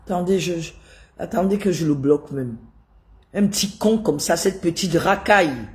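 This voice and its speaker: A woman's voice: on the low side, moderate pace, darkish tone. A woman with a head cold ranting live to her viewers, indignant and scolding, repeating rhetorical questions. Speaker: live streamer commenting on politics. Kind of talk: live-stream rant to viewers.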